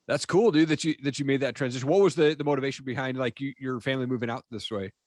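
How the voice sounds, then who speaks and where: Fast-talking, upbeat podcast host. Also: male voice, mid-range voice, darkish tone, dry recording. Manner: enthusiastic, says man and dude a lot. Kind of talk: casual interview chat.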